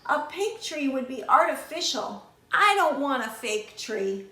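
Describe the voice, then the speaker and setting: Woman as a high-voiced skeptical little boy. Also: sing-song, unhurried, bright, forceful tone, roomy sound, exaggerated voice. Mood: earnest objection. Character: skeptical little brother who objects earnestly.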